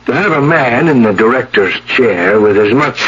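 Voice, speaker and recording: An actor playing a placating, apologetic senior scientist who runs an institute, speaking hesitantly and tactfully in radio drama style. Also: male voice, medium pitch, lively intonation, a bit bright, husky. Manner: hesitant, measured, tactful delivery. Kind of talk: old-time radio drama acting. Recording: dull, noisy vintage radio broadcast.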